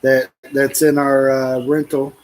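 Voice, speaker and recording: A middle-aged man from the American Midwest chatting casually with viewers from the couch at home, easygoing and quick to laugh. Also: mid-range voice, monotone. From United States. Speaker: vlogger. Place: living room at home.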